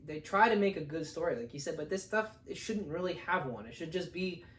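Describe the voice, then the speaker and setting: A man chatting casually as co-host of a film review, giving blunt opinions in a halting, repetitive way. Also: fairly high pitch, expressive, fairly bright tone, slight background noise. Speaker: co-host of a movie review show. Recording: echoey room.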